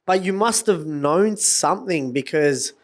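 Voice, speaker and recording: A man with a somewhat high speaking voice hosts a podcast. He asks brief, casual follow-up questions and slips in quick affirmations while his guest talks. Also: animated. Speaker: podcast host. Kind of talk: casual interview.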